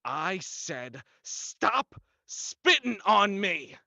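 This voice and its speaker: An actor playing a desperate, exasperated nephew in a melodramatic 1940s-style horror radio play. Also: male voice, sits high, big pitch swings, drawn-out words, sharp tone, no room sound, full character voice. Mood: indignant. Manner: pleading, indignant, melodramatic.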